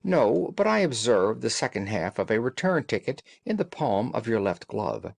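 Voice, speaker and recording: A man playing an assured gentleman detective from a storybook. He speaks with brisk courtesy and precision, his pitch moving in lively swings. The sound is close and dry. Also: gravelly, no echo. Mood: soothing, assured. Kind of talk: audiobook character dialogue.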